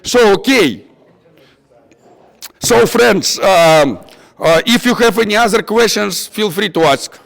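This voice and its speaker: A man with a non-native English accent giving an informal technical workshop, halting and repeating himself as he searches for words. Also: high voice, animated, vocal fry, a bit bright. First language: not English. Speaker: workshop instructor. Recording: echoey hall with long reverb.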